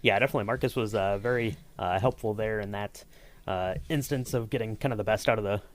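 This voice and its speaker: Lowish-voiced man asking questions. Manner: halting, repeats words while phrasing questions. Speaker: podcast host and interviewer. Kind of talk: casual interview.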